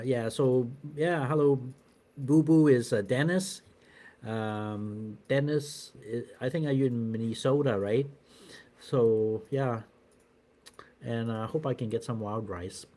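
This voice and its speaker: A middle-aged man talks loosely to his viewers on a live stream, with a voice of ordinary pitch. He rambles, restarts sentences and fills pauses with "uh" and "you know". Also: a little mellow. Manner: rambling, many fillers and restarts. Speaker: channel host doing a live stream. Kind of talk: casual live-stream chat answering viewers.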